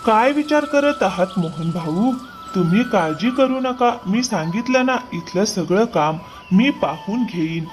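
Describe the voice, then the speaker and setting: A hard-working farmer from a cartoon fable, acted by a man, with a high male voice. He speaks earnestly and obligingly as a loyal, trusting friend, over faint music. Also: lively intonation, unhurried. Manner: earnest, accommodating. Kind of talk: cartoon moral-story dialogue.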